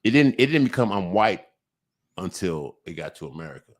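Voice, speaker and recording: A man with a very low voice, talking casually on a podcast. He stops and restarts often, fills gaps with "uh" and "like", then pushes hard on the points he cares about. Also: big pitch swings. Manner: halting, many restarts and fillers, emphatic bursts. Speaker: podcast host. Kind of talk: casual conversation.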